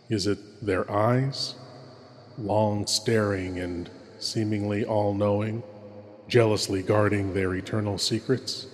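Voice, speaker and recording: A man playing a courtly, ghoulish horror show host, speaking theatrically and ominously with dramatic pauses. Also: lowish voice, darkish tone. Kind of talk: old-time horror host narration. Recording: long cavernous reverb.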